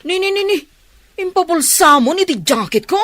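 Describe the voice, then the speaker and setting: A woman acting an excitable, easygoing motorbike rider in a village radio comedy drama, exclaiming with drawn-out delight. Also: very high-pitched. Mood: pestering.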